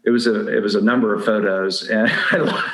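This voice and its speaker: Lowish-voiced man in an echoey room. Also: steady tone, fairly quick, fairly bright tone, faint music behind. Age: middle-aged. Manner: conversational, explanatory. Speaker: podcast guest, dating advice blogger. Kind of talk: casual interview chat. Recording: roomy, echoing sound.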